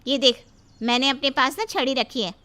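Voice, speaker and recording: A woman plays a cruel daughter-in-law in a cartoon, harsh and bossy. Her voice is high and bright, with a sharp edge. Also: moderate pace.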